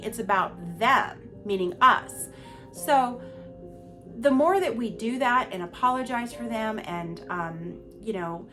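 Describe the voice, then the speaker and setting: A woman's voice: on the high side, pushed and bright. A middle-aged woman hosting a personal monologue podcast, talking candidly and emphatically with a stuffy voice from a cold. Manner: candid, emphatic, repeats words for stress. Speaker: podcast host. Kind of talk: personal monologue on abuse recovery.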